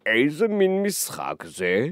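Guy doing a dry smug magic creature for a kids story, talks like a soldier, swoopy pitch, very close dry sound. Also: wildly swooping pitch, no echo. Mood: smug, puzzled. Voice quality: dry, parched voice. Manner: clipped, like a soldier. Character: smug, single-minded swelling magical creature, male, soldierly.

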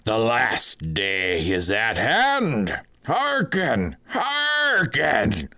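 A man voicing a disguised thief who poses as a saint, crying out in a high, slow, piercing preacher's voice. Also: wildly swooping pitch, bright, forceful tone, exaggerated voice. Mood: prophetic, shrill. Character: master thief posing as shrieking saint.

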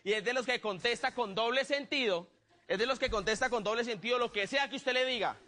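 A man with a Colombian accent telling comic stories in a playful, animated way, acting out bits of dialogue as he goes. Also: extremely high pitch, brisk. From Neiva, Colombia. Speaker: comedian and guest. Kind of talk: comic storytelling, stand-up and banter.

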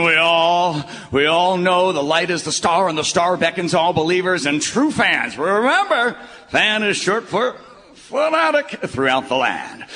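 A man playing a rambling, sentimental older baseball broadcaster, with halting, folksy oratory in a radio play. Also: fairly high pitch, pushed and bright. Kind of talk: live radio play acting. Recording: echoey live hall, faint noise.

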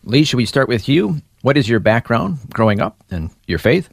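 A man's voice: medium pitch, sing-song, moderate pace, gravelly, dry recording. A male interviewer with a mid-range voice. He is attentive and encouraging and often drops in short reactions. His voice is recorded close and dry. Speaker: interviewer host. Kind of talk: conversational interview.

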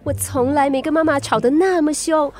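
A woman's voice: high voice, steady tone, a little fast, a little mellow, faint music behind, natural voice. A woman with a Singaporean accent playing a troubled, headstrong teenage daughter in a radio drama. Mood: panicked. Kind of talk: Mandarin radio drama acting.

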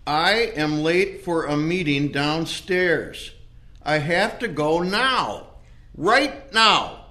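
A man's voice: fairly high pitch, sing-song, extremely slow, pushed and bright, heavily acted. A man playing a confused, insistent elderly professor in an audio play, urgent and indignant. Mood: agitated.

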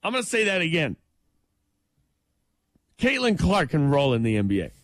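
A man co-hosting a morning radio show, talking sports in an emphatic, excited way and laughing easily. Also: fairly high pitch, normal speed, no room sound, clean studio sound.

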